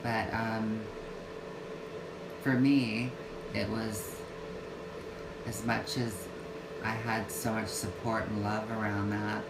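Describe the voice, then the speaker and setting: A transgender woman in her fifties chatting on a home livestream, halting often with fillers and restarts. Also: very deep voice, level tone. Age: 50s. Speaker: vlogger. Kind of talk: casual livestream talk. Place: home yoga room. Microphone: phone or webcam livestream. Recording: echoey room, loud air conditioning hum.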